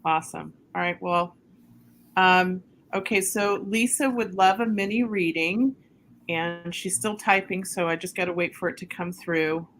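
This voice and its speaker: A woman's voice: lowish voice, unhurried, a bit bright, a little hiss or hum. A woman hosting a livestream over a video call, hesitant and full of ums as she waits for viewer comments. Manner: hesitant, many ums while waiting for comments. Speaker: livestream host.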